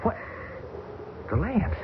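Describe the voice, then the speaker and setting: An actor playing a wisecracking American pilot stranded in the Arctic, jaunty and joking, in an old radio drama. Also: male voice, extremely slow. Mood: urgent. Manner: jaunty, joking, turning alarmed. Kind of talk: 1970s radio drama acting. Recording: hissy, tinny old radio broadcast.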